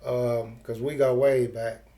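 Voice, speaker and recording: Mellow-voiced man chatting casually. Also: mid-range voice, level tone, slow. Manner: informal, slang-heavy, profane. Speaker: interviewer. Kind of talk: casual street interview chat.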